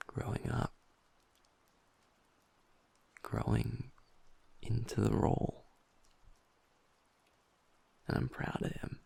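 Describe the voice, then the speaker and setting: A gentle young werewolf boyfriend, voiced by a man, speaks slowly and close to the mic in an audio roleplay. His low voice is heavily gravelly and breathy, often dropping to a half-whisper. He pauses and restarts as he confides. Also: full character voice. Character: gentle young male werewolf boyfriend, usually upbeat, now downcast.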